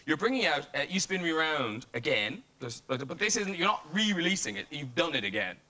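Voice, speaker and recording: Bubbly, high, sing-song male presenter. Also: very animated, pushed and bright. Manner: bubbly, giggly, hurried. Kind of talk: chat show interview.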